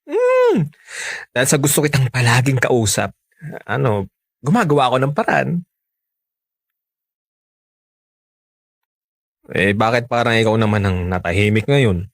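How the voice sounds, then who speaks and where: A Filipino man acting in a radio romance drama as a smooth-talking, unfaithful married man, earnest and persuasive. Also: mid-range voice, huge pitch swings, normal speed, no room sound, clean studio sound. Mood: coaxing. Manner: coaxing, earnest.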